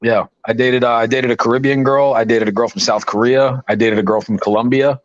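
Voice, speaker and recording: A man in his late thirties on a podcast, casually telling stories and building up to loud punchlines. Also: mid-range voice, steady tone, brisk, highs cut off. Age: 30s. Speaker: podcast host. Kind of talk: casual banter.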